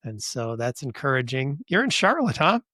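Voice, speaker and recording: A man's voice: medium pitch, normal speed, no echo. A middle-aged man hosting a podcast at an easy pace, encouraging and full of little fillers. His voice sounds dry and close, with no room around it. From Western New York. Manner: relaxed, encouraging, frequent fillers and laughs. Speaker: podcast host. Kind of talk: casual podcast interview.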